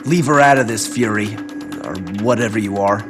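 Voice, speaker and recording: A man's voice: fairly low pitch, normal speed, close to an everyday voice. An actor playing a sarcastic, defiant young male superhero in a video game cutscene. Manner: sarcastic, quippy. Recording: game music behind voice.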